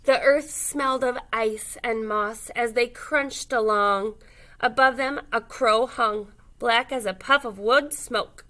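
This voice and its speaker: A woman reading a children's picture book aloud expressively, pausing to put questions to young listeners. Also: a little high, sharp tone. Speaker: read-aloud storyteller, teacher.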